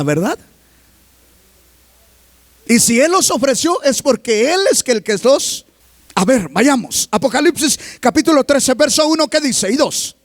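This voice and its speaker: A man preaching a sermon as pastor, reading scripture aloud and constantly asking the congregation questions, rising to emphatic shouts. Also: very high voice, no room sound, studio quality. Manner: reads scripture aloud between remarks.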